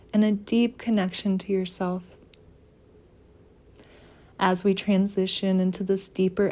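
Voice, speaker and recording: Woman doing a guided meditation, really slow and mellow, soft soothing delivery with little pauses. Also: mid-range voice. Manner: soft, soothing, unhurried, gently pausing.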